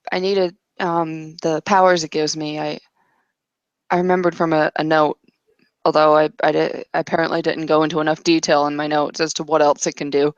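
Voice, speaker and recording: Halting, awkwardly sincere woman played by a roleplayer, slightly creaky. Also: sits low, no echo. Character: conflicted, apologetic young woman scout who runs a restaurant. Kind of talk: tabletop roleplay, improvised in character.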